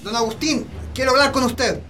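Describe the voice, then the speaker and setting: A lovestruck young Chilean peasant in a radio play. His voice is extremely high for a man, solemn and respectful yet defiant, over faint music. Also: normal speed, bright, forceful tone. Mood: solemn, resolute. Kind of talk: Spanish-language radio drama acting.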